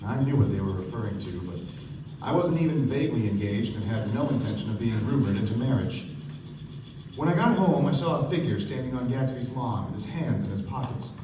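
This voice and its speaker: A man performs as a thoughtful young male narrator in a radio play. He tells the story with reserve, heard from far off in an echoey theatre with clear background noise. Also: mid-range voice, lively intonation, fairly quick, lightly acted. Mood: measured narration. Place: theatre stage with live audience. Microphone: far camera. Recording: roomy stage recording from afar, noisy.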